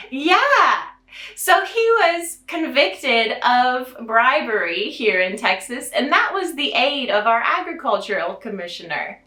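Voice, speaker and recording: Satirical storyteller, feminine American voice, bright and unhurried, sarcastically sweet and theatrical, heard in an echoing room. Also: sits high. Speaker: storytelling host in a drag elf persona. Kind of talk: satirical storytime to camera, mock fairy tale. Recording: roomy, echoing sound.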